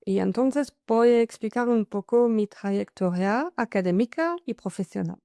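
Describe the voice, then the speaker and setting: A woman from France with a French accent speaks Spanish as a second language, giving an online masterclass presentation and often pausing with "am" and "so". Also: on the high side, gravelly, dark tone, dry recording, studio quality. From Paris, France. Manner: hesitant, with many "am" and "so" fillers. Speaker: guest speaker, consultant.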